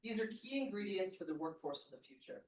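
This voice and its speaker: A woman's voice: medium pitch, animated, fast, distant mic. A woman reading a formal public comment at a meeting. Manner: formal, reading a prepared statement. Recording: echoey room, away from the mic.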